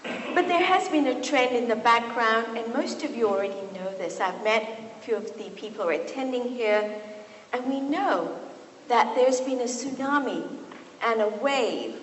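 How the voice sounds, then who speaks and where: A woman's voice: on the high side, moderate pace. Woman speaking in an echoey hall. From Kenya. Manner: warm and storytelling, with lively asides. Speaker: physician and speaker. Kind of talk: lecture talk to an audience. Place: university hall. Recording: echoey hall, faint noise.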